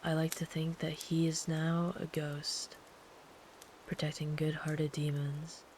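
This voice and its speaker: A woman playing a kind, protective traveler in an ASMR sleep-aid roleplay, speaking gently and soothingly in a hushed, partly whispered voice. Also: sits low, flat delivery, takes it slowly, a little hiss or hum. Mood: hushed, storytelling. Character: kind, protective traveler comforting a runaway by a campfire.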